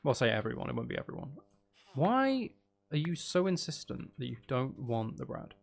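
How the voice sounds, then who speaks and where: A man's voice: medium pitch, very animated, normal speed, gravelly. A man giving casual live gameplay commentary, chattily thinking aloud and muttering to himself with mild exasperation. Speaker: gaming streamer. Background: background music.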